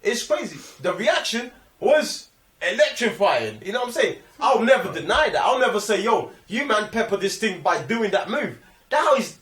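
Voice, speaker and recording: A man's voice: high voice, fairly bright tone, far from the mic. A man with a London accent chatting casually about a film with friends, slangy and repeating phrases for emphasis. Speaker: film review podcast co-host. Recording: roomy echo, off the microphone.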